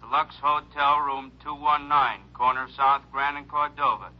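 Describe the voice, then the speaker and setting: A man playing an impassive police dispatcher in an old radio drama, giving calls in a slow official monotone. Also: medium pitch, close to an everyday voice. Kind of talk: mid-century radio drama acting. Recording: muffled old radio recording.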